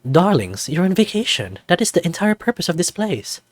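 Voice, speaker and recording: A man's voice: high-pitched, sing-song, brisk, no room sound, pitched above the performer's own voice. A man voicing a flamboyant, theatrical snow leopard who runs a resort in a visual novel, speaking in a campy, dramatic manner. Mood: grand, coaxing. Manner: dramatic, lavish wording, campy.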